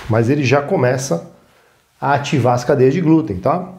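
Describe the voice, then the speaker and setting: A man's voice: mid-range voice, moderate pace, darkish tone. A man with a Brazilian Portuguese accent hosting a casual step-by-step cooking demonstration, informal and instructive, often adding little check-in tags. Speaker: cooking show host.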